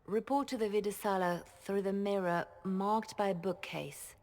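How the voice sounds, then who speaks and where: A woman's voice: mid-range voice, fairly even intonation, moderate pace, a little mellow, faint music behind, close to an everyday voice. A woman playing a composed, discreet and cunning spymaster trained as a bard in a video game. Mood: composed, calculating.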